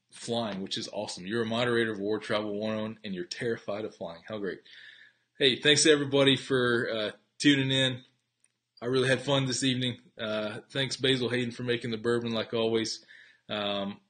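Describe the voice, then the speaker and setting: A man hosting a casual live travel stream from home, chatty and energetic, answering viewer questions as he goes. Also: medium pitch. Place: home, upstate South Carolina.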